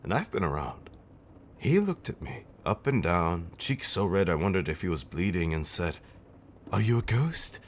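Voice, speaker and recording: A man acting as an ancient, loving vampire husband with a low voice. He spins his tale theatrically in antique phrasing, teasing and tender, swinging between dramatic swells and hushed murmurs. It is an ASMR roleplay with faint ambience behind him. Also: big pitch swings, normal speed, a little hiss or hum. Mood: fond, amused. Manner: theatrical, old-fashioned wording, teasing, dramatic swells and whispers. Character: affectionate, theatrical centuries-old male vampire husband.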